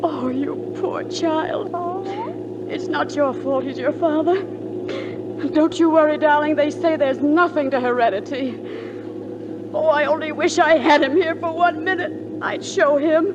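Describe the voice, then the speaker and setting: An actress playing a spirited young wife and new mother on a farm, dramatic and quick to scold, in old film comedy style. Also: high voice, fairly even intonation. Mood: indignant. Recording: dull, noisy old film soundtrack.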